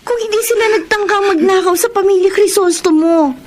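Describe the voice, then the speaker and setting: An actress playing a kind young woman who calmly reassures in a radio drama. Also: very high voice, fairly quick, background noise. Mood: comforting. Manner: calm, reassuring.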